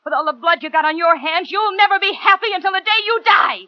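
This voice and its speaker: A woman's voice: extremely high pitch, expressive, fast talker, no echo. An actress with an American accent playing a defiant doomed woman in a 1940s radio thriller. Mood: bitter, defiant. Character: defiant doomed woman facing her killer. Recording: dull vintage 1940s radio recording.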